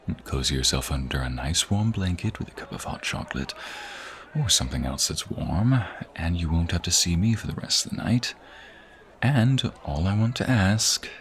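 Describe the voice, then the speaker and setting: A man playing a respectful knight bodyguard in an audio roleplay, earnest and courtly, with wry exasperation and a halting delivery. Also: deep voice, a little hiss or hum. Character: respectful armored knight bodyguard, teasing a bratty princess. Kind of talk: audio roleplay acting, one-sided dialogue.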